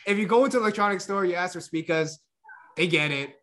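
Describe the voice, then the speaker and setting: A man chatting casually on a podcast, animated, laughing often and tripping over repeated words. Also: sits high, fast talker. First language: English. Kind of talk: casual chat.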